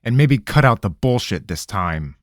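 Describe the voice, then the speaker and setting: A man's voice: lowish voice, animated, moderate pace, no echo, clean studio sound, voice pushed up, natural voice. A man voicing a stern, impatient male interrogator who questions bluntly and growls in exasperation.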